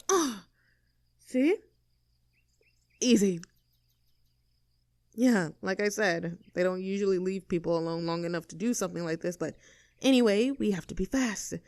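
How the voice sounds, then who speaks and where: A woman's voice: medium pitch, darkish tone, no room sound, clean studio sound, natural voice. A woman playing a cocky, rebellious young mean girl in an audio roleplay, snarky, bossy and self-assured as she talks to the listener.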